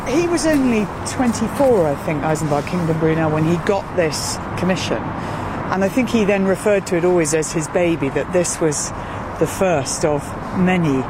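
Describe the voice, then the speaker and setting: A woman's voice: fairly low pitch, lively intonation, normal speed. A British woman presenting a walking radio programme, describing the surroundings and interviewing as she goes. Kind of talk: descriptive on-location narration and interviewing. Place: outdoors on a wooded gorge path beside a busy road. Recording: outdoor recording with clear traffic noise. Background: road traffic and outdoor ambience.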